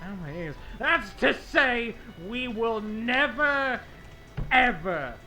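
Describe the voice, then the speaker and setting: A man playing an excitable, theatrical gamer in a comedy skit, switching between bombastic announcing and offhand asides. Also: very high-pitched, slow, loud music behind the voice, heavily acted. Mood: bombastic, excited. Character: excitable, theatrical male gamer recording his show intro. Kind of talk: comedic animated audio skit.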